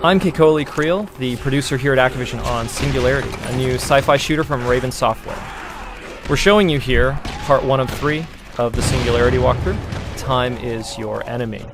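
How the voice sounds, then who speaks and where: A man's voice: medium pitch, fairly quick, music in the background. A man, a game producer, giving an explanatory gameplay walkthrough commentary. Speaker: game producer presenting a demo.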